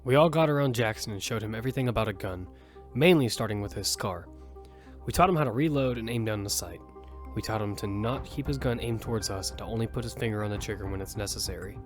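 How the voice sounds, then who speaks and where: A man narrating an audio drama in first person as its lead, telling the story with quick urgency over music. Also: on the low side, close to an everyday voice.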